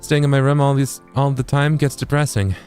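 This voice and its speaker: Man voicing a gentle, higher-pitched eager café helper. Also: level tone, fairly quick, faint music behind, close to an everyday voice. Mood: hesitant, eager.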